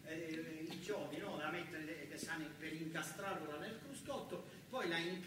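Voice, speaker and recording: A man with a southern Italian accent performing a theatrical monologue as an exasperated, self-deprecating factory worker, talking colloquially and emphatically with repeated words. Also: a little high. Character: exasperated male car-factory worker and young father, talkative, self-deprecating. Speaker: stage actor performing a monologue. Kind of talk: theatrical narrative monologue in character. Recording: very echoey, noisy distant stage recording.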